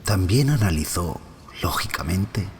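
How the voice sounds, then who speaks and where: A man acting as a podcaster telling his own mystery in an audio fiction, with a very low voice that moves expressively. He phrases things deliberately and pauses for drama, over some faint ambient noise. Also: animated. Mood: measured, intriguing. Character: male podcaster recounting an unsettling personal mystery. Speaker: narrator and protagonist. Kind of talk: scripted first-person audio fiction narration.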